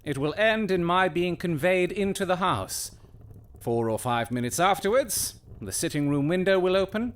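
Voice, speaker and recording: Shrewd detective, audio drama, higher male voice, lively swooping delivery, precise and instructing, confident. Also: normal speed. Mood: commanding, precise.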